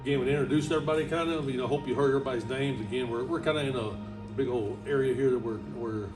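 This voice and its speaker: A man's voice: mid-range voice, fairly even intonation, very fast, distant mic, over loud music. A man interviewing a band in a casual, loose way, often stumbling over his words. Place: large rehearsal space.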